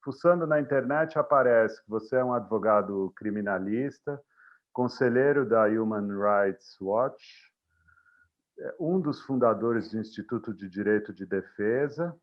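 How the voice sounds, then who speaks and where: A man's voice: fairly low pitch, measured pace, dull audio. Hesitant Brazilian male interview host. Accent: Brazilian Portuguese. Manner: hesitant, rambling questions with restarts. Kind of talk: casual interview talk.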